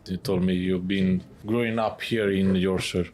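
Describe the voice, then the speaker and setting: A man with a non-native English accent, interviewing casually with halting, hesitant phrasing. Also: deep voice, steady tone, a little mellow. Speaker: podcast host, interviewer. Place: in a pub over a meal.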